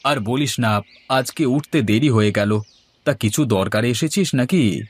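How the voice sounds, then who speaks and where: A man's voice: mid-range voice, measured pace, breathy edge. A man voicing a middle-aged village father in a moral story cartoon, anxious to get his daughter married. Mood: curious.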